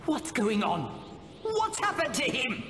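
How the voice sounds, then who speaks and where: A man's voice: very high voice, wildly swooping pitch, takes it slowly, slight background noise, heavily acted. A man voicing a haughty, sadistic alien tyrant in an anime game, with imperious, contemptuous menace. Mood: shaken disbelief. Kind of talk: English anime game voice acting. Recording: loud music and effects behind.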